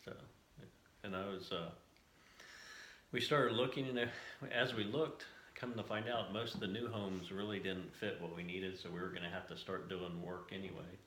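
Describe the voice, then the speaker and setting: Low, even-voiced American man, echoey room. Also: moderate pace. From United States, moved around before settling in Southern Ohio. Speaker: house-hunting show applicant. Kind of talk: casual interview-style chat to camera. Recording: echoey room with faint background noise.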